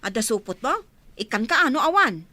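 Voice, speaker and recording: A woman's voice: on the high side, very animated, fairly quick, heavy background noise. A woman with a Filipino accent acting a blunt, teasing, bossy sister-in-law in a radio drama.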